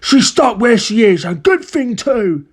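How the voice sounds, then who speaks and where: A narrator voicing an excitable, domineering storybook father, insistent and exclamatory. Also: medium pitch, a little slow, slightly rough, pitched above the performer's own voice. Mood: scornful. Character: excitable, domineering father with grand money-making schemes.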